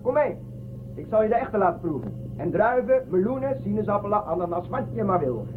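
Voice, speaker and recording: A man's voice: sits high, sing-song, brisk. An actor in a radio drama playing a rebellious, teasing spaceman with a persuasive, familiar manner. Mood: coaxing. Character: cheeky, coaxing rebellious spaceman, fond and teasing. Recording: muffled lo-fi radio drama, music underneath.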